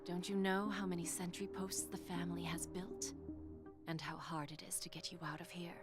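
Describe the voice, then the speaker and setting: Actress as a poised, scheming noblewoman over loud music. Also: lowish voice, flat delivery, normal speed, natural voice. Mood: concerned. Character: poised, patient, shrewd noblewoman offering a tempting bargain. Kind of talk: dramatic video game voice acting.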